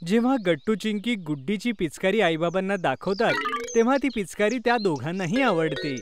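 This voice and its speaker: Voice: medium pitch, animated, brisk, noisy background. A narrator reading a scripted children's story. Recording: music playing behind the voice. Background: background music.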